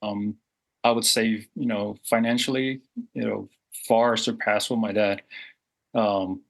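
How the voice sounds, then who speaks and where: A low-pitched man in his forties, born and raised in Samoa, speaking in a candid interview. He talks earnestly and reflectively, circling back with fillers and restarts as he thinks aloud. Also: steady tone, measured pace, creaky, poor audio. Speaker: guest.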